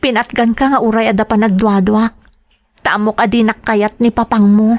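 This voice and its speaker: A woman's voice: fairly high pitch, fairly even intonation, moderate pace, dry recording, natural voice. An actress playing a dutiful, apologetic young wife hurt by her in-laws, in a radio-style drama. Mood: hurt, upset. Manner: apologetic, deferential.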